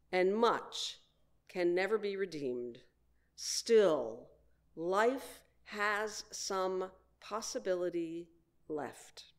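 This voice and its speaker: A woman minister delivering a prepared sermon in measured, deliberate phrases with frequent pauses. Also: on the low side, fairly bright tone.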